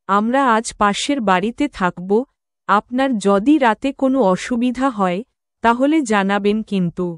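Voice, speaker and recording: A woman's voice: mid-range voice, clear, resonant tone, dry recording, clean studio sound, close to an everyday voice. A woman voicing a practical, hospitable village wife in a Bengali cartoon, homely and matter-of-fact, with a Bengali accent.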